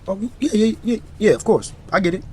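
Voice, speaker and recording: A man's voice: high voice, animated, a little fast, a little hiss or hum. An actor playing a young football running back who narrates his own story in a reflective, wry inner monologue for an audio drama. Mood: awkward. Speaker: lead character and narrator.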